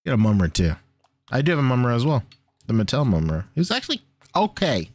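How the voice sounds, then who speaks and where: Animated man in his thirties, casual podcaster. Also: medium pitch, fast, dry recording. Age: 30s. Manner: joking, slangy, swears freely. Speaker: podcast co-host. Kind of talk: casual chat about toys and cartoons.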